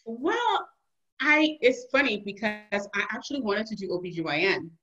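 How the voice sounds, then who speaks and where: A woman's voice: medium pitch, normal speed, dull audio. A woman from Liberia telling stories casually and animatedly as a guest in an interview. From Liberia, West Africa. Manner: animated, laughs often while telling stories. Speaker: podcast guest, emergency physician.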